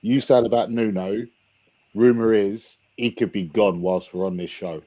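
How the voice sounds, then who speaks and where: A man's voice: on the low side, fairly even intonation, moderate pace, mellow, no room sound. A man giving blunt, sarcastic opinions on a football podcast. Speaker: podcast panelist. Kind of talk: casual chat.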